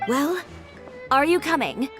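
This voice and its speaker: A woman's voice: sits high, expressive, slow, over music. A woman playing a confident, fiery young fashion designer in a video game, assertive and blunt. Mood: determined.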